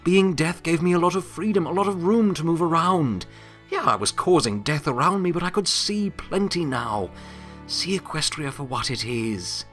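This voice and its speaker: A man playing a weary, wry, remorseful pony who serves as Death, speaking in a reflective, halting, confiding monologue in an audio drama. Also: on the high side, lively intonation, a bit bright, soft background music. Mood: earnest, hopeful.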